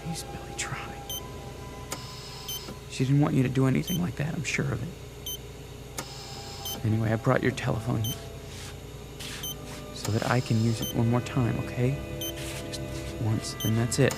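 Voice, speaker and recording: An American man acting in a radio drama as an anguished father at his young son's bedside, halting and pleading. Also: medium pitch, fairly even intonation, creaky, loud music behind the voice, close to an everyday voice. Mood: tender, grieving. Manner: halting, pleading, repeats words.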